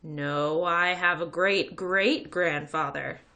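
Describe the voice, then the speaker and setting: A woman's voice: lowish voice, steady tone, takes it slowly, bright, forceful tone, exaggerated voice. A woman voicing a cocky schoolboy bully from a children's book, taunting and incredulous. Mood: boastful. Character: cocky, sneering schoolboy bully telling his own story.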